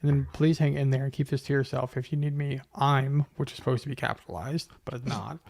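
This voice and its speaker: A man's voice: mid-range voice, steady tone, fast, gravelly, a little mellow, no echo. A man chatting casually and giving blunt, scornful commentary. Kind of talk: casual chat, critical commentary.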